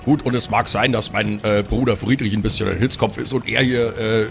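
A man's voice: on the low side, steady tone, fairly quick, vocal fry, faint music behind. A man playing an earnest, dignified dwarf cleric who speaks formally and with some hesitation.

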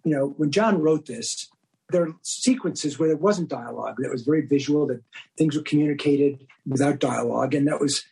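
A man's voice: fairly high pitch, moderate pace, creaky, darkish tone, slightly rough, low-quality recording. A man answering as an interview guest in a discursive, explanatory way. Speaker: podcast guest, audio drama director.

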